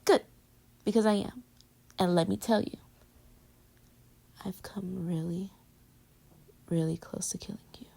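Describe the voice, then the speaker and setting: A woman playing an affectionate, teasing girlfriend with a hidden insecurity, in intimate pillow-talk roleplay audio, often slipping into breathy whispers. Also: on the low side, unhurried, long pauses, dark tone, full character voice. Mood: whispered teasing.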